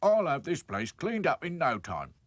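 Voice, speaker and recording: A man voicing a confident, brisk male pest controller in a cartoon, reassuring in manner. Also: fairly high pitch, huge pitch swings, dry recording, pitched above the performer's own voice.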